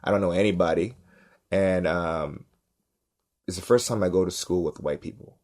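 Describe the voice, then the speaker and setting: A man's voice: sits low, normal speed. A man, telling stories in a casual podcast chat and acting out the other people in them. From Los Angeles, United States. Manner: animated, acts out quoted voices, repeats "right?". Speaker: podcast cohost, stand-up comedian. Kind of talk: casual storytelling.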